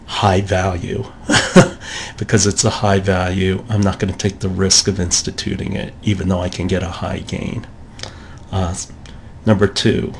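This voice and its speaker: A man's voice: lowish voice, creaky, a little hiss or hum. A man giving a recorded college lecture in a conversational way, acting out the thoughts of shoppers in quotes.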